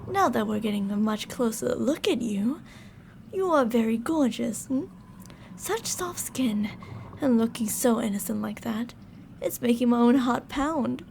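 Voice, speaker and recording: A woman's voice: a little high, lively intonation, measured pace, gravelly, a little mellow, slight background noise. A woman playing a controlling mad scientist in an ASMR audio drama, sugary and polite but ready to turn stern and commanding. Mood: sweetly menacing. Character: controlling female mad scientist, sweet-talking, fretful captor.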